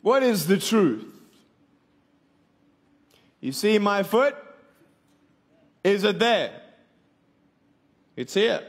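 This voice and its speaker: High-voiced Australian man preaching playfully. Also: sing-song, very slow, long pauses. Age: 20s. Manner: playful, joking, calls for audience responses. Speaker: evangelist speaker. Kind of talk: humorous motivational preaching to a live audience. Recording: long hall reverb.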